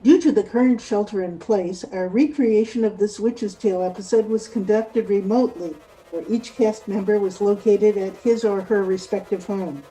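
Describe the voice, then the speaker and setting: A woman's voice: medium pitch, moderate pace, few pauses, warm tone, low-quality recording. A woman announcer reading scripted closing credits for a radio drama.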